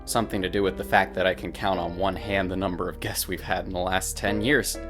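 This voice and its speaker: A man's voice: deep voice, level tone, fairly quick, music in the background. A man playing an affable, wordy hotel clerk in a visual novel, with a dramatic, chatty manner. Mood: theatrical.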